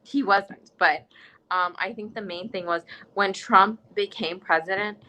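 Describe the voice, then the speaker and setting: A woman's voice: medium pitch, unhurried, pushed and bright, rough recording. A teenage girl with an American accent, a guest in a casual interview, talking with passion and emphasis in long run-on stretches. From United States. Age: teens. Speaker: interview guest, youth nonprofit director.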